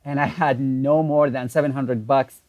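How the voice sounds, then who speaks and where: A man's voice: medium pitch, no echo, dull audio. Man with an Iraqi Arabic accent, dry sound. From Iraq. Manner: relaxed, laughs easily, halting with fillers. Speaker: guest. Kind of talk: casual interview storytelling. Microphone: video call.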